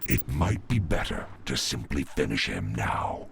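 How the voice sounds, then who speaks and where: A man playing a grave, cautious alien adviser in a video game, gravelly, speaking in a measured, formal, precise way. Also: extremely low pitch, big pitch swings, noisy background, full character voice. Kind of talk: cinematic video game acting.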